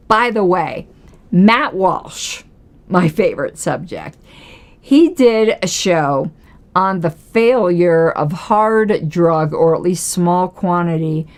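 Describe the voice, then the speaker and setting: A hoarse woman with a lowish voice hosts a casual political commentary livestream. She talks straight to her viewers and argues her case with pointed rhetorical questions. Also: a little mellow.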